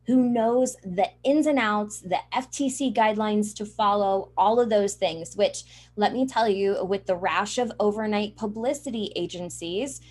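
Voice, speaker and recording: Assured woman explaining in an interview, mid-pitched. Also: measured pace, few pauses, darkish tone. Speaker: interview guest, publicity trainer and journalist.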